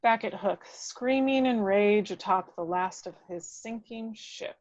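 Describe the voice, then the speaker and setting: Female narrator for screen action, dramatic and very unhurried, slightly muffled, very dry mic. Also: mid-range voice, darkish tone, dry recording. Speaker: script narrator. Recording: dull lo-fi, dry microphone.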